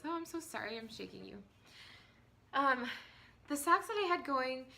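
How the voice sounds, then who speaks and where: A woman in her thirties hosting a knitting podcast from home, chatting casually with many ums and small laughs, her voice hoarse from a cold. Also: mid-range voice, pushed and bright. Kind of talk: casual chat to camera. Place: at home, indoors.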